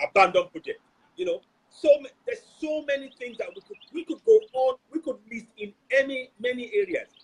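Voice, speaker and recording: A man hosting an online talk, speaking in an animated, emphatic way and often repeating phrases. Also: high-pitched, husky, low-quality recording. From Nigeria. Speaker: host. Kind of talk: passionate civic talk.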